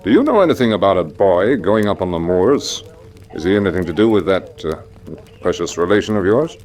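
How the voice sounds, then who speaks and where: A man's voice: sits low, big pitch swings, brisk, a little mellow. A man playing an easygoing, inquisitive master of a country house in a classic radio drama. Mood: brisk, curious.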